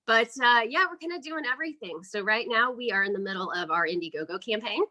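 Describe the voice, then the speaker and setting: A woman's voice: a little high, animated, fast, bright, forceful tone. A woman speaking casually and chattily as an interview guest, explaining her work as an independent filmmaker.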